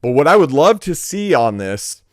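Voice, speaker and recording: A man's voice: fairly high pitch, sing-song, moderate pace, no echo, studio quality. A man co-hosting a casual gaming podcast, talking with enthusiasm and wordy phrasing. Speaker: podcast co-host.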